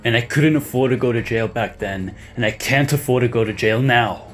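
A man playing a calm, cold-blooded, menacing middle-aged uncle in an audio drama. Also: medium pitch, fairly quick, soft background music, natural voice. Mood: cold confession.

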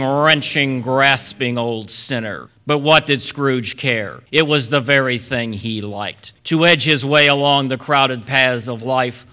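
A man's voice: medium pitch, sharp tone. A man announcing and narrating a live radio drama on stage, in a dramatic, emphatic storytelling style. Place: auditorium stage before a live audience.

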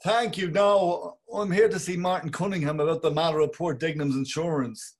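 Actor for a husky, fussy, careful gentleman. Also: a little high, steady tone, moderate pace. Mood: hedging. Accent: Irish. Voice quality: husky, hedging. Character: fussy, hedging middle-aged Bloom, polite. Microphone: video call webcam.

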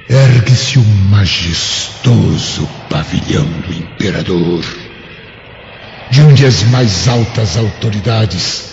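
A man's voice: fairly low pitch, creaky, a little mellow. An actor playing a florid Roman man in an audiobook drama, grandiose, with a Brazilian accent. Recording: dull lo-fi audio, background noise.

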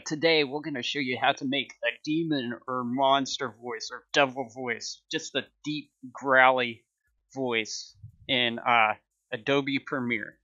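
A man in his thirties hosting a casual software tutorial with an informal, upbeat manner. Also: medium pitch, no room sound, muffled recording. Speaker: tutorial host.

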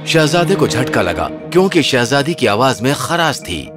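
Animated male fairy tale narrator. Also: mid-range voice. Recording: music under the voice.

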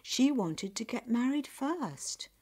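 A woman voicing a dutiful, gentle younger daughter in a folk tale, polite and hesitant. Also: a little high, sing-song, slow pace, pitched above the performer's own voice, exaggerated voice. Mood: grateful, worried.

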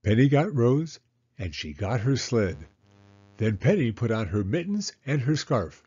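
A man reading a children's storybook aloud in a deliberate, simply phrased narration. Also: mid-range voice, sing-song, mellow, breathy edge, no room sound, highs cut off. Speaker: storybook reader.

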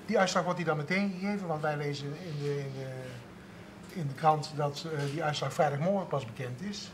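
A man's voice: a little high, normal speed, noisy background. A male host asking short, prompting questions in a local TV studio. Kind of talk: local TV interview. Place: TV studio with seated audience.

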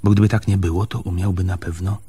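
An actor in a Polish radio play, playing a stubborn, jealous man with an emphatic, halting way of speaking. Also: low voice, little pitch movement, mellow, breathy edge. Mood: stern, resolute. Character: stubborn, jealous, stern man arguing with his lover.

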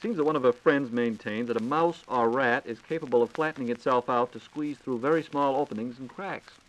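A man's voice: mid-range voice, brisk, poor audio. An American man hosting a scripted 1940s radio program in a polished, courteous announcer style. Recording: 1940s radio transcription disc.

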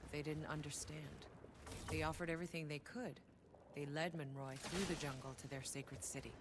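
An actor playing a hardened, resolute soldier leading an expedition in a video game, with a terse, commanding manner. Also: male voice, on the high side, fairly even intonation, normal speed, background noise, lightly acted. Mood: grim, informative. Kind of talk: video game dialogue, voice acting. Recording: loud game sound effects behind.

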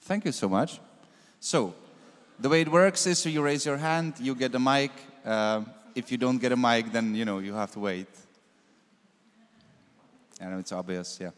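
A man's voice: mid-range voice, moderate pace, pauses often. A man informally moderating a question and answer session from the stage. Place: large wooden concert hall with audience. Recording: echoey concert hall.

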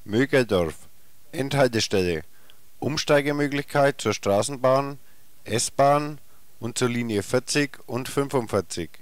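A man's voice: medium pitch, measured pace, slight background noise. A man reading scripted bus stop announcements in a clipped, formal, neutral manner, from a 1990s cassette recording. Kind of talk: scripted public transit announcement, short formal stop names.

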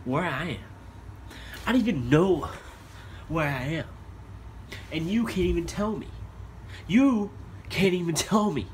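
A man's voice: a little high, animated, unhurried, pauses often, slight background noise. A young man acting in an improvised home drama, frustrated and swearing, recorded on a phone in a small bedroom. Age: young adult. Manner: frustrated, swearing, calls people "dude". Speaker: amateur actor. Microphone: phone camera held at arm's length.

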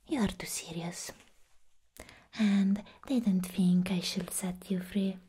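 Casting: woman as a giddy, menacing comic-book villainess for ASMR roleplay, very breathy whisper, unhurried teasing delivery. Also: mid-range voice, fairly even intonation, dark tone. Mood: mad, conspiratorial. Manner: teasing, playful, drawn-out.

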